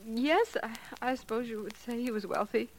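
A woman playing a hesitant, genteel new widow in a 1940s radio drama, polite and hushed. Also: fairly high pitch, moderate pace, natural voice. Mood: hesitant, wary. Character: hesitant, genteel, newly widowed doctor's wife. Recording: noisy vintage radio recording.

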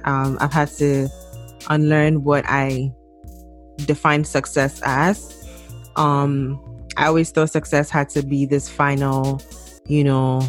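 A young woman from Ghana co-hosting a podcast, talking in a relaxed, chatty way with plenty of fillers. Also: rumbling low, takes it slowly, soft background music. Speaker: podcast co-host. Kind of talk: casual chat.

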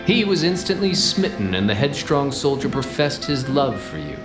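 An actor playing a cocky, bossy 1920s private detective in a noir radio comedy, with clipped wisecracking delivery. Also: male voice, mid-range voice, very animated, moderate pace, music in the background, natural voice. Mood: dramatic accusing.

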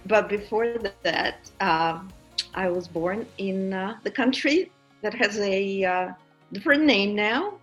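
A woman's voice: medium pitch, slow, fairly bright tone, muffled recording. Woman, 50s, Russian accent, candid and wry, frequent fillers, faint background music. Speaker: podcast producer and guest. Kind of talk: casual personal story.

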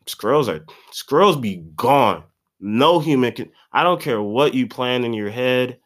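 A man's voice: medium pitch, expressive, unhurried. A man of about twenty, congested, rambling in a slangy, profane solo podcast monologue. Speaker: podcast host.

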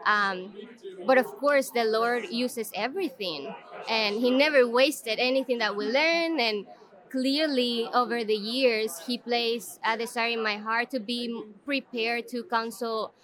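A young woman from El Salvador, speaking English as a guest in a casual interview, earnest and quick to laugh, with frequent "um" pauses. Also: fairly high pitch, a bit bright. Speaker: guest, ministry content manager.

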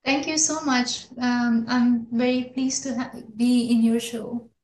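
A woman's voice: fairly high pitch, fairly even intonation, unhurried, darkish tone. A middle-aged woman from Pakistan, a physician guest recalling her childhood in a rambling way, with many fillers and restarts. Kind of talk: conversational interview answers. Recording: echoey room.

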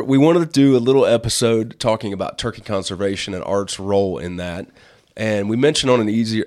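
A man in his forties with a hoarse voice from a cold, hosting a casual podcast chat and rambling with many fillers. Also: lowish voice. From Illinois. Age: 40s. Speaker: podcast host, wildlife artist.

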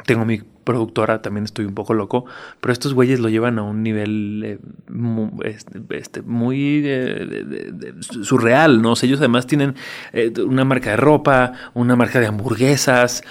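A Mexican actor in his forties chatting in an interview, relaxed and frank, with slang and frequent tag questions. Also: mid-range voice, moderate pace. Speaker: actor and guest. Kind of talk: casual interview chat.

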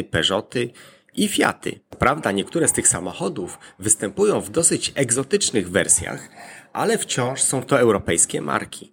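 A man presenting a scripted explainer in a deliberate, point-by-point manner. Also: medium pitch, gravelly, breathy edge.

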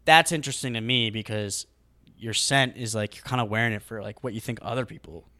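A man's voice: fairly low pitch, brisk, bright, forceful tone, no room sound. A young man co-hosting a podcast who rambles and corrects himself as he thinks aloud, with a slightly congested voice. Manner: rambling, self-correcting, musing. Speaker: podcast co-host. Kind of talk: casual chat.

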